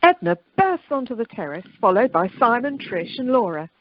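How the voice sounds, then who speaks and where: A woman's voice: on the high side, sing-song, normal speed, muffled recording, a little hiss or hum. A woman acting as the narrator of a radio play, reading out the stage action. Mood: narrating. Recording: amateur telephone conference recording.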